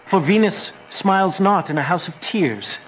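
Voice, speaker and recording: Man acting courtly noble suitor, raised pitch. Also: animated, a little slow, slight background noise, low-quality recording. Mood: businesslike. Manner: formal, courtly, smooth-talking. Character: courtly, self-assured noble male suitor. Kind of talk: theatrical Shakespearean radio drama acting.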